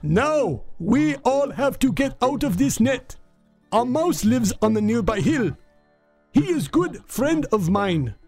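A man voicing a wise, noble king of doves, declaiming slowly and grandly in a storybook reading. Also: very high voice, very animated, gravelly, darkish tone, breathy edge, voice pushed up, exaggerated voice. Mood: resolute. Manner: slow, grand, theatrical declaiming. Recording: long reverb with music behind.

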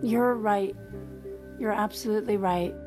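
Actress as slow, hesitant, reserved woman. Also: mid-range voice, music in the background, close to an everyday voice. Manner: hesitant, halting. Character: reserved, awkward, gently earnest married woman. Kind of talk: naturalistic audio drama dialogue.

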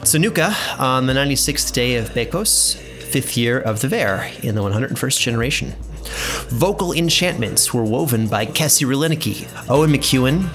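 A man's voice: mid-range voice, natural voice. A man playing a chatty, absent-minded fantasy archivist in an audio drama, musing and humming to himself. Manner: musing, hesitant hums, casual. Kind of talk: in-character audio drama narration. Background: music.

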